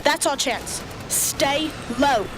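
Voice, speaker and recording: A woman's voice: sits high, slow, over loud music, poor audio. A woman playing a tough, dryly sarcastic rebel field commander in an amateur radio drama. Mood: brisk, wary. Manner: dry, sarcastic.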